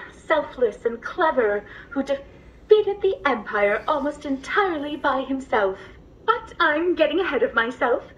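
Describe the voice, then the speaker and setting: A high-pitched, earnest female droid, polite and formal as she attends to her passenger. She speaks like an eager bedtime storyteller in a scripted sci-fi tale, with slightly dull, lo-fi sound. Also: slight background noise. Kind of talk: scripted character dialogue and storytelling.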